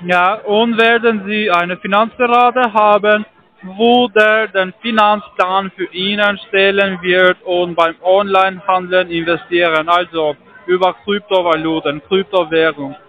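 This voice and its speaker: A man's voice: high-pitched, fairly even intonation, unhurried. A man with a foreign accent, a non-native speaker of German, talking as a call centre salesman on a phone call. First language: not German.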